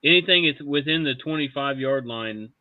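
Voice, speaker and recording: A man's voice: mid-range voice, normal speed, no room sound. A man co-hosting a podcast in a laid-back, self-mocking way. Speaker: co-host. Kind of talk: casual podcast chat. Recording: dull, dry lo-fi mic.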